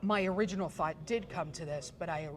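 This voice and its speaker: Woman, 40s, from Pittsburgh, lowish voice, rambling and animated guest. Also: fairly quick, over music. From Pittsburgh, USA. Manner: chatty, many fillers and restarts, animated retelling. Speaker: guest, esports photographer and journalist. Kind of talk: casual interview chat.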